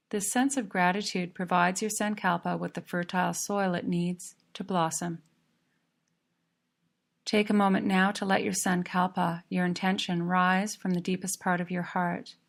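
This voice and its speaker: A woman narrating a guided yoga relaxation in a calm, measured, soothing manner. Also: on the low side, creaky.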